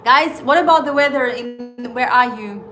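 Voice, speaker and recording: A woman in her thirties, a native Estonian speaker with an Estonian accent, hosting a casual trading livestream and chatting with viewers in halting English full of fillers. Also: on the high side, music in the background. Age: 30s.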